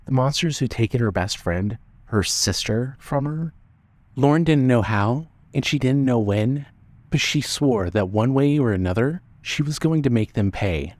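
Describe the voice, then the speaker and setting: Male narrator, scripted dramatic storytelling, mid-pitched voice, very dry close sound. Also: darkish tone, dry recording.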